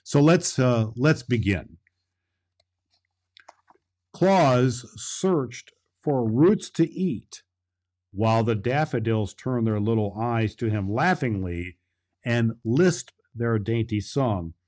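Here is a jolly Santa Claus, played by a man, hosting a children's story podcast. The voice sits at a medium pitch. The delivery is kindly, folksy and inclined to ramble. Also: animated, slow pace, a little mellow. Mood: gentle storytelling. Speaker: host and storyteller.

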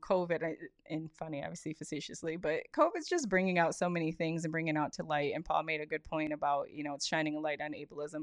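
Opinionated woman, lowish voice, dry sound. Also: smooth, dry recording. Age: 30s. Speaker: podcast co-host. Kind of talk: casual conversational chat with some swearing.

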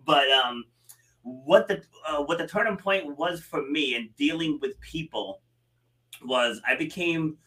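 A man in his forties telling stories in a relaxed podcast conversation, laughing easily. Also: fairly high pitch, a little slow, poor audio. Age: 40s. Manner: storytelling, animated, laughs easily. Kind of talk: casual conversation.

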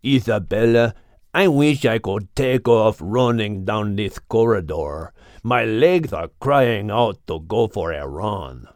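A man voicing a restless but polite henpecked bull in a storybook, with a Spanish accent and a slow grumbling delivery. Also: on the low side, expressive, darkish tone, dry recording, clean studio sound. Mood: restless, resigned.

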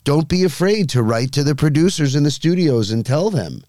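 A man's voice: on the high side, lively intonation, normal speed, dark tone, dry recording, studio quality. A man co-hosting a podcast, casually chatting and explaining wine facts. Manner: explanatory, informative about wine. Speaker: podcast co-host. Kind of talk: casual chat.

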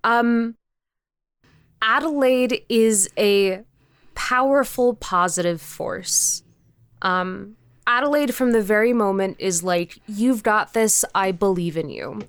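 A woman giving casual, thoughtful interview answers as a guest, haltingly and often rephrasing mid-sentence. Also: medium pitch, clean tone, no echo. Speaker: interview guest, indie audio drama creator.

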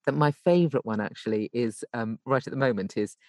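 A woman's voice: extremely low pitch, a little fast, mellow, dry recording, clean studio sound. A woman giving tips as a podcast guest in casual, chatty and emphatic conversation. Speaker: podcast guest, voice actor and coach.

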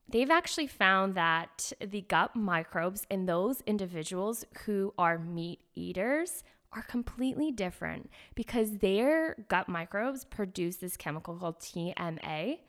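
A woman's voice: medium pitch, a bit bright. A woman doctor, a guest on an interview, explaining medical terms step by step in an earnest way.

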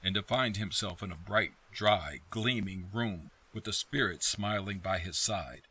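A man narrating a radio play in a measured, expressive, literary storytelling style. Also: sits low, breathy edge.

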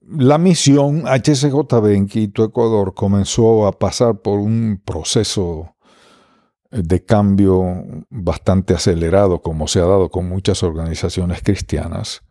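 Dominican man, veteran radio voice, slow and mellow, frequent pauses. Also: fairly low pitch, no echo, studio quality. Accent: Dominican Spanish, mostly neutralized. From Dominican Republic. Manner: unhurried, many pauses and fillers. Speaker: radio broadcaster, interview guest. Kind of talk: reflective interview answers.

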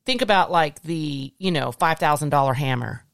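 American podcast host, mid-pitched, lightly gravelly, explanatory and conversational, recorded dry. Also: moderate pace, fairly bright tone, no echo. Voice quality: slightly gravelly. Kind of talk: casual discussion from notes.

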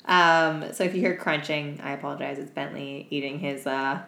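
A woman's voice: low-pitched, fairly even intonation, moderate pace, vocal fry, a bit bright. A sleepy woman co-hosting a podcast, bantering casually with playful, dry humour and some swearing. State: sleepy, yawning after an all-night shift. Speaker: podcast co-host. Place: indoor room at home. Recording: echoey room with background noise.

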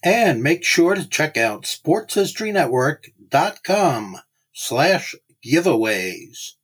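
A man's voice: on the high side, sing-song, slow pace, breathy edge. A man hosting a podcast, giving an introduction and promotional announcement. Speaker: podcast host.

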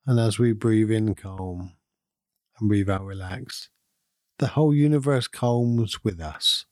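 Mellow man gently guiding a meditation. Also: fairly low pitch, no room sound, studio quality. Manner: calm, soothing, unhurried with long pauses. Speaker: meditation guide and podcast host. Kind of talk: guided meditation, gentle instruction.

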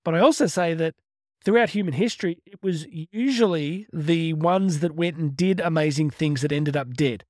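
A man, giving an energetic, conversational motivational podcast talk on his own and often restarting his words. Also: on the high side, normal speed, dry recording, clean studio sound. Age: 50s. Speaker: motivational speaker. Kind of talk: solo motivational podcast monologue.

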